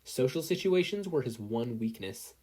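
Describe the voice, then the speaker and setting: Husky, animated story narrator. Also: mid-range voice, normal speed. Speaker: narrator reading fan fiction. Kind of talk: scripted story narration, expressive.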